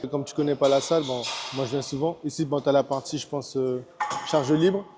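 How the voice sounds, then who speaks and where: A man's voice: mid-range voice, a little fast, crowd noise. A man in his thirties from French Guiana, a personal trainer chatting casually in a large gym, hesitant with many fillers. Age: 30s. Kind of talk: casual chat.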